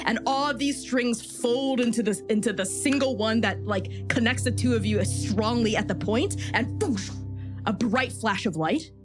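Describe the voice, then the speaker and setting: A woman runs a tabletop fantasy game, narrating scenes as game master. Her voice sits at a middling pitch, with music clearly playing behind it.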